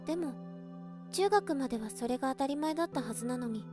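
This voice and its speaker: A woman playing a polite, earnest teenage high school girl in an amateur audio drama, speaking in courteous, formal phrasing. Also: expressive, fast talker, music in the background. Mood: wistful, earnest.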